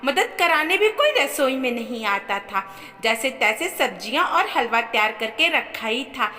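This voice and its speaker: A woman tells a family story in a high, very animated voice. Her scripted narration is emphatic and expressive, with a bright edge, and a long reverb trails her words. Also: measured pace.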